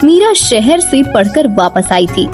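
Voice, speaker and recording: A woman's voice: on the high side, sing-song, slow pace. A woman reading scripted narration for a moral story as its storyteller. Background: background music.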